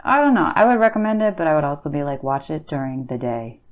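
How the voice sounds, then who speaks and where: A woman's voice: deep voice, big pitch swings, quick pace. A woman playing a blunt, casual creepy-story teller, offhand and slangy in her banter. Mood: amused, casual. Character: Bee, blunt, slacker-casual woman trading creepy stories. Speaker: podcast co-host.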